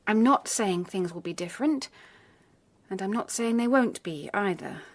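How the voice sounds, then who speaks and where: An actress playing a gentle but quietly firm young Victorian gentlewoman, speaking in a radio drama. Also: medium pitch, animated, normal speed. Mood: soft, firm.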